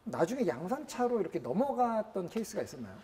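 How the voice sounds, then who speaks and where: A man hosting a conversational interview, expressive and wordy as he thinks his questions through aloud. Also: sits high, fast talker. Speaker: host interviewer and car reviewer.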